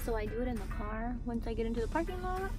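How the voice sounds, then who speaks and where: Young female beauty vlogger, high and playful, phone mic in a car, loud music behind. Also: expressive, quick pace. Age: young adult. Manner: playful, giggly, teasing. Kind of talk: casual makeup chat. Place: inside a parked car. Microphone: handheld phone camera.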